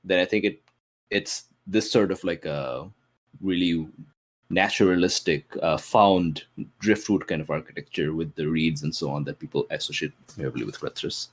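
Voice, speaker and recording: Male tabletop player, low voice, casual and tentative, building ideas as he speaks. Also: normal speed. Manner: tentative, thinking aloud with many hedges. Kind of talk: casual improvised worldbuilding talk.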